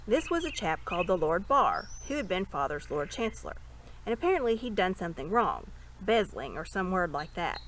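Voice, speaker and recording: Woman voicing an eager young storybook prince, lively, birds behind. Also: mid-range voice. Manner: breezy, schoolboyish British slang. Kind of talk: storybook character voice. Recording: birdsong and ambient noise behind.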